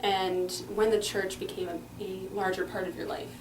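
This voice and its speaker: A woman's voice: mid-range voice, flat delivery, moderate pace. A woman interviewer asking questions in an upbeat, polite way. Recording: roomy, noisy, distant mic.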